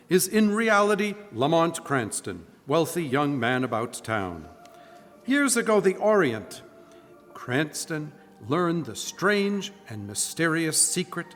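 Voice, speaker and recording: A man plays a polished 1940s-style radio announcer. The scripted delivery swings widely in pitch, in a slightly high voice. It resounds in a big live hall. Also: fairly bright tone, full character voice. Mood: dramatic narration. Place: church, live stage performance.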